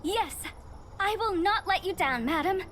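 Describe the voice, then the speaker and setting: A woman's voice: on the high side, normal speed, slight background noise, natural voice. A woman playing an earnest, dutiful young researcher in a video game, formal and polite as she explains things. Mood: eager. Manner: formal, expository, apologetic. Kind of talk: scripted video game dialogue. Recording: music behind the voice.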